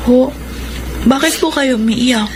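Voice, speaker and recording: An actress playing a caring, protective young daughter with a Filipino accent and an earnest radio drama delivery. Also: female voice, sits high, a little slow. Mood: concerned. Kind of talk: Tagalog radio drama acting. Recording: clear background noise.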